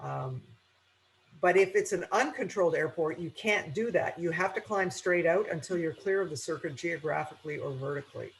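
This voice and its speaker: A woman teaches an informal online aviation class. She explains things step by step in a halting, conversational way. A faint noise sits behind her voice. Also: lowish voice, vocal fry, poor audio. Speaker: aviation instructor. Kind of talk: informal webinar lecture.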